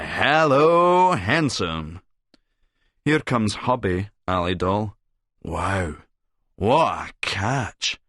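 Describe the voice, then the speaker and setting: A man's voice: medium pitch, a bit bright, no room sound. A sneering bar-room tormentor, played by a man in an audiobook. He drags out his words with bitter sarcasm, in a dry, close recording. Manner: drawn-out mocking delivery.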